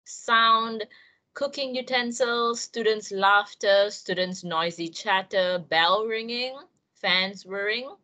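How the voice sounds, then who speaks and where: A woman's voice: mid-range voice, takes it slowly, pushed and bright. A young woman with a Malaysian accent giving an encouraging online English writing lesson, speaking directly to her students.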